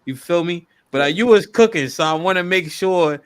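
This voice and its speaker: A man's voice: high-pitched, animated, moderate pace, smooth. A man hosting a live-stream podcast, reading out paid chat messages in loose, slangy talk, laughing often and repeating words for emphasis. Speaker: podcast host reading super chats.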